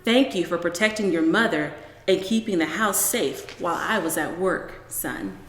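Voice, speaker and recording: A woman reading a children's story as a calm, proud father, reassuring and good-humoured. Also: fairly low pitch, normal speed. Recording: roomy echo with long reverb.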